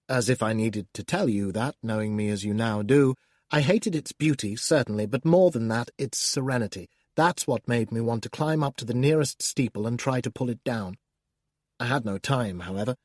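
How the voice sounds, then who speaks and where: A man narrating an audiobook as a scornful, menacing male demon who taunts the reader, theatrical and swinging between hushed and loud. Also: medium pitch, dry recording, studio quality, lightly acted. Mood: brooding. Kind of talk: first-person audiobook narration.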